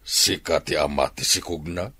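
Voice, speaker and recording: Deep, husky older Filipino father. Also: steady tone, moderate pace, sharp tone, exaggerated voice. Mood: measured, concerned. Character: older father, measured and advising. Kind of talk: radio drama acting.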